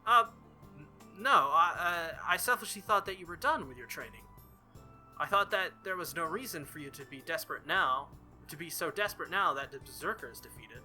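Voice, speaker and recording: A man's voice: on the high side, lively intonation, a little fast, sharp tone, soft background music. A man voicing a polite, serious, reserved young swordswoman from a visual novel, with formal wording. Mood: surprised.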